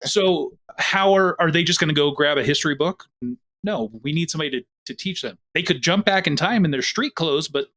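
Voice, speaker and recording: A man's voice: a little high, a little fast, sharp tone. A man, a producer and character actor, chatting enthusiastically on a podcast. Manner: enthusiastic, chatty, full of pop-culture comparisons. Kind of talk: casual podcast chat.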